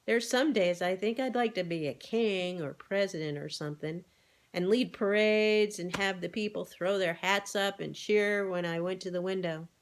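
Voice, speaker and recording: A woman's voice: medium pitch, normal speed, natural voice. A folksy, wistful male farm animal from a storybook , as a woman reader plays him. He rambles along in plain rustic talk, humble and unassuming. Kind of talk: animal storybook read-aloud.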